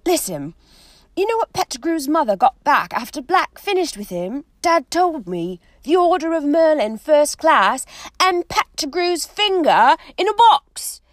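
A woman voicing a hot-tempered teenage boy who talks loud and heated. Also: a little high, very animated, normal speed, hardly any pauses, no room sound, clean studio sound, pitched above the performer's own voice. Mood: furious.

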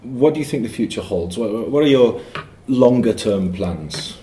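A man with a fairly low voice interviews in a light, teasing way, with easy questions. His voice sounds roomy, with faint ambience behind. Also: lively intonation, measured pace, warm tone, recorded from a distance, slight background noise. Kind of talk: casual interview. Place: university student coffee room.

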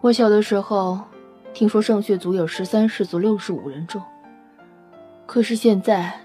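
An actress playing a solemn, wistful half-blood alien woman in an audio drama, explaining things in a measured, serious way. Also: mid-range voice, warm tone, close to an everyday voice. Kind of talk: Chinese audio drama acting. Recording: music under the voice. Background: background music.

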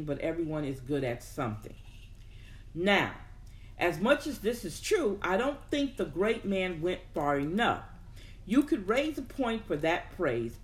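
A host speaks in a middle register, giving a casual motivational talk. The delivery is chatty and encouraging, and it rambles into asides as if talking straight to regular listeners. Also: medium pitch.

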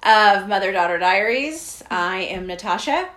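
A middle-aged mother co-hosting a casual chat podcast, teasing and chatty, often pausing on fillers. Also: mid-range voice, animated, a bit bright. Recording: echoey room.

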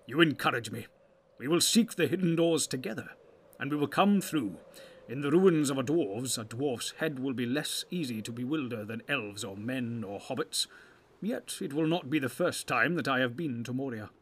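A man's voice: mid-range voice, moderate pace, slightly rough, lightly acted. A man playing a grave, commanding old wizard in a fantasy audiobook, speaking in weighty, archaic, oratorical phrases. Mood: resolute.

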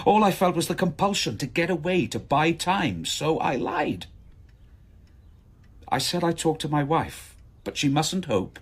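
A man's voice: a little high, breathy edge, exaggerated voice. An actor playing an appalled, anxious married father in a British radio drama, earnest and agitated.

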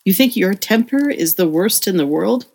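A woman playing a gentle, wise middle-aged mother in an audio play, speaking in a soothing, earnest way. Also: mid-range voice, expressive, moderate pace, vocal fry. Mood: gentle reassurance. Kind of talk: audio play acting with narration.

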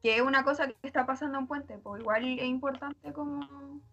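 A woman's voice: sits high, steady tone, fairly quick, pushed and bright, lo-fi sound, soft background music. A woman with a Chilean accent chatting casually and slangily as a panelist. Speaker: podcast panelist.

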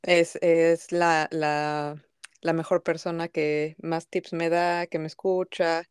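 Host: woman in her 40s, lower voice, smooth, hesitant chatty delivery. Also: flat delivery, slow pace, no room sound, studio quality. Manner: hesitant, with many fillers and laughs. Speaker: podcast host. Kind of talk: casual podcast conversation.

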